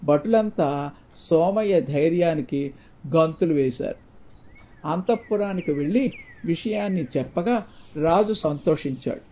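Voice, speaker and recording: Mellow man narrating, slightly high voice. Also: animated, measured pace, pauses often. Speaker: storyteller. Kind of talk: scripted moral-tale narration. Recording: dull, muffled lo-fi sound.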